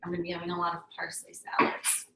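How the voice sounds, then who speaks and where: Young woman teaching a cooking class, hebrew is her first language, lowish voice, chatty and excited, bit of room echo. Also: fast, vocal fry, noisy background. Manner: chatty, enthusiastic, many ums and restarts. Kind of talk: casual cooking demonstration.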